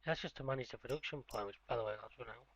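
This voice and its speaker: A man's voice: mid-range voice, racing, vocal fry, fairly bright tone, dull audio. A man with a blocked nose from a cold, casually commenting on a farming game and grumbling at it as he plays. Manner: grumbling, self-mocking muttering at the game. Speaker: gaming YouTuber. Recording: game sounds and vehicle noise behind. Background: farming game vehicle noise.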